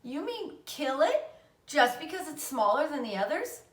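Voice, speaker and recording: A woman's voice: high-pitched, very animated, moderate pace, pitched above the performer's own voice. A woman voicing a passionate, indignant eight-year-old farm girl in a storybook read-aloud. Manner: pleading, protesting. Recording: roomy echo, distant mic.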